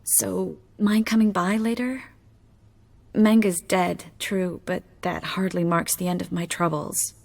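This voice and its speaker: A slow-spoken, husky young sorceress. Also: female voice, on the low side, steady tone, darkish tone, exaggerated voice. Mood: weary, subdued. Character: resolute, weary young sorceress, wry and soft-spoken. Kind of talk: video game voice acting.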